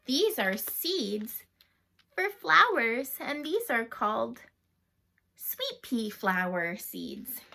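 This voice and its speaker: A woman hosting a read-aloud for young children, expressive and full of questions, sometimes dropping into a whisper, recorded at home. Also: fairly high pitch, extremely slow, creaky. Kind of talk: animated children's storybook read-aloud with chat to the listeners.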